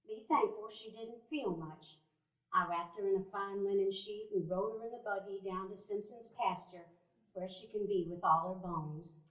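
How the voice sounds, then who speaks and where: A woman's voice: mid-range voice, moderate pace, natural voice. A woman playing a devout, tender, simple-hearted elderly Southern woman in a radio play, with folksy, plain-spoken delivery. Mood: gentle, sorrowful. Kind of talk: live radio play acting. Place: event hall before live dinner-theater audience. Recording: echoey live theater stage.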